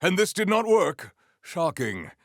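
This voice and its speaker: A proud video game warrior with a low, gravelly voice and stately, formal phrasing. He speaks slowly and deadpan, yet swings widely in pitch with grandiose flair. The sound is dry and close. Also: no room sound, heavily acted. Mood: stoic, formal. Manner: formal, stilted, grandiose diction. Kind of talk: scripted video game character lines.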